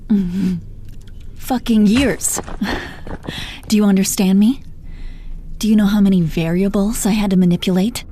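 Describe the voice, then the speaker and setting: Mellow, taunting supervillainess, acted by a woman. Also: mid-range voice, steady tone, a little slow, pauses often, slight background noise, natural voice. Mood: exasperated boasting. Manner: taunting, condescending pet names, heavy swearing. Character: gloating menacing female supervillain, sardonic and exasperated. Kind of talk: dramatic roleplay audio monologue.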